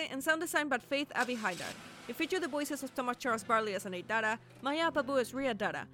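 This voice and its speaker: A woman's voice: high voice, a bit bright. A woman reading a scripted podcast outro as the credits announcer.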